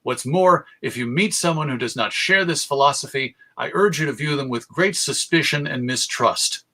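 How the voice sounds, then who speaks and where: An American man giving an informal online talk as a presenter, halting, courteous and drily humorous. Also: mid-range voice, sharp tone. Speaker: presenter of a radio history talk. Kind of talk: informal lecture to an online audience.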